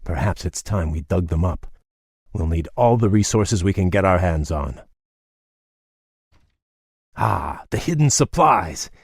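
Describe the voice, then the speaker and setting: A man playing a proud, resentful elf prince in a fantasy game, formal and restrained, with a husky voice. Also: low voice, lively intonation, unhurried, pauses often, no echo, studio quality, exaggerated voice. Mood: determined. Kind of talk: dramatic fantasy game acting.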